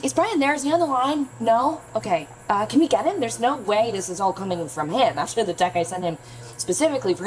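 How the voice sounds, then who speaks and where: A young woman playing a defiant, profane pop star in her late twenties in a radio play, insistent and sharp-tongued. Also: mid-range voice, lightly acted, poor audio. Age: young adult. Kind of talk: naturalistic radio play acting. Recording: music behind.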